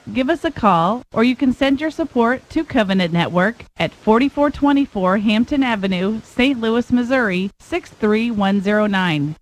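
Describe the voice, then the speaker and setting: A woman's voice: on the high side, rough recording. A woman announcer politely reading a scripted appeal for radio support. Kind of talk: read station appeal.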